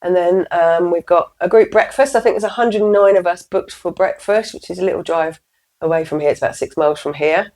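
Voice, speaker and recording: Croaky-voiced woman vlogger. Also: on the low side, a little fast, a little mellow. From England. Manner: chatty, with fillers and light laughs. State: croaky from a cold. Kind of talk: casual vlog.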